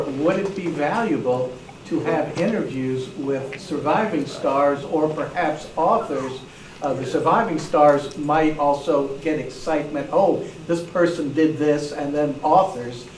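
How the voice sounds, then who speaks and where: A man's voice: a little high, darkish tone. A man putting a question from the floor at a meeting. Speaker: audience questioner. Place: meeting room. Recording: distant, echoey, faintly noisy room.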